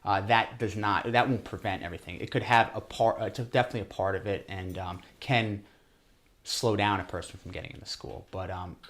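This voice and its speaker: A man with a slightly low voice explains as an interview guest. He talks like a trainer, in a halting way, often repeating words as he builds his point. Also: fairly even intonation, fairly bright tone.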